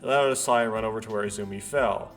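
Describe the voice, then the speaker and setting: A man doing a casual let's play, haltingly thinking aloud and reading visual novel text out. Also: on the low side. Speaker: let's player. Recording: background music behind voice. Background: game music.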